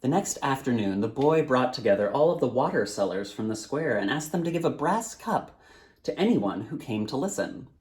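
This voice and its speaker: A man reading a storybook aloud as its author, in a dramatic, measured narrating style. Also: medium pitch, animated, a little mellow.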